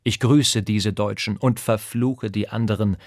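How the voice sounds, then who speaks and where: A man with a slightly low voice reads literary prose from a script. The studio sound is close and free of echo. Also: steady tone, measured pace. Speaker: voice actor reading quotations. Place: dry studio.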